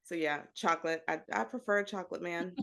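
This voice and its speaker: A woman's voice: fairly low pitch, fast talker, gravelly, fairly bright tone. A woman hosting a podcast, talking in a laid-back, frank and unfiltered way in casual chat. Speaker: podcast host.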